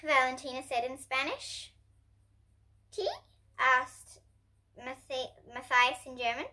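A young girl of about ten to twelve reading a children's storybook aloud in an expressive way. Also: high voice, slow. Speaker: host reading picture books for kids. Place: indoor room in front of bookshelves.

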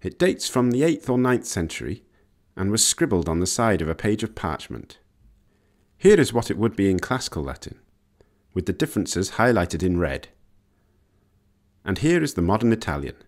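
Deep-voiced man narrating a lesson. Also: very animated, moderate pace, pauses often, darkish tone. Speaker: narrator of an educational language history video. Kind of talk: scripted educational narration.

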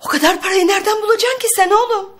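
Worried prying mom, really high voice, fast. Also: female voice. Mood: anxious, questioning. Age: middle-aged. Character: anxious, prying middle-aged mother of grown son. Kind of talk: TV comedy-drama acting.

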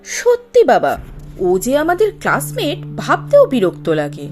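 A woman's voice: high-pitched, wildly swooping pitch, normal speed, a little mellow, faint music behind. Sneering, wildly swooping college girl. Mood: scornful. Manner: sneering, scornful. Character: spiteful, arrogant young college girl who sneers. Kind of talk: Bengali animated story dialogue.

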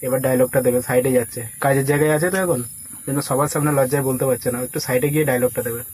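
A man's voice: mid-range voice, steady tone, fast, darkish tone, slight background noise. A man talking casually and persuasively on a prank call, coaxing and giving instructions like a casting agent. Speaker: prank caller posing as a film casting agent.

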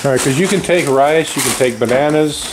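A man's voice: mid-range voice, a little fast. An older man vlogging casually with his family, thinking aloud with restarts, in a bare concrete room on a camera mic. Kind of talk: casual chat. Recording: echoey room with loud background noise.

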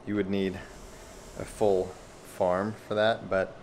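Low-voiced American man vlogging casually. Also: little pitch movement, takes it slowly, a little mellow. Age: 30s. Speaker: family vlogger. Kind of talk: casual chat to camera. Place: mostly outdoors in a garden. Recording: noisy outdoor camera audio.

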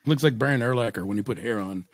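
A man's voice: medium pitch, fast talker, no echo, studio quality. An American man in his thirties co-hosting a podcast in a casual, chatty style. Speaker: podcast co-host. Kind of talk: casual wrestling review chat. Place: home room with desk setup, on a video call. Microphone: microphone on boom arm, close.

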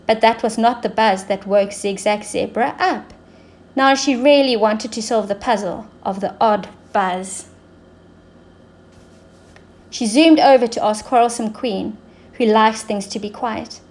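A woman teacher reading a story to young children in an expressive way, drawing words out for emphasis. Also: a little high, a little slow.

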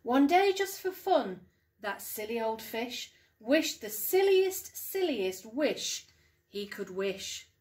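A middle-aged woman reads a picture book to young children, expressively and deliberately, stressing key words, in a room at home. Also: fairly high pitch, very animated, a little mellow. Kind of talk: storybook read-aloud for young children. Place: small living room at home.

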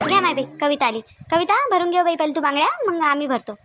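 A woman's voice: high voice, quick pace, sharp tone, no echo. A woman voicing chatty, bossy village women in a Marathi cartoon comedy, with a Varhadi accent and a colloquial, nagging manner. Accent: Varhadi Marathi of Vidarbha.

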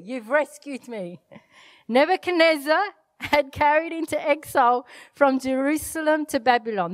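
A middle-aged woman pastor whose first language is Italian, preaching an animated sermon from a church stage into a handheld mic, with self-deprecating asides. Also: high-pitched, dry recording. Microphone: handheld microphone.

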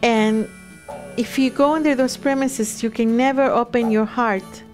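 A woman's voice: a little high, steady tone, unhurried, darkish tone, faint music behind. A woman from El Salvador, speaking candidly and reflectively in a documentary interview. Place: indoors in a living room.

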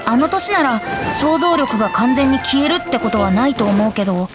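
A woman voicing a playful, easygoing boyish young bard in an anime game, gentle and teasing. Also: high-pitched, lively intonation, a little fast, loud music behind the voice. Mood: musing.

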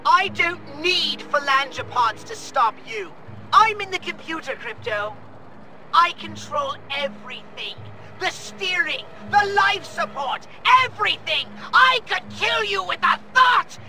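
A man's voice: very high voice, expressive, unhurried, vocal fry, bright, forceful tone, heavily acted, low-quality recording. An actor playing a pompous, theatrical male alien commander who speaks as a hologram in a video game. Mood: gloating. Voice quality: haughty, theatrical. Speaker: superior officer. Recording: game audio with faint music.

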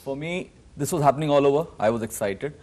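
A man's voice: medium pitch, fast talker, darkish tone. A middle-aged man from Nepal, a guest on a studio talk show, speaking earnestly about organizing an event. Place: TV studio set.

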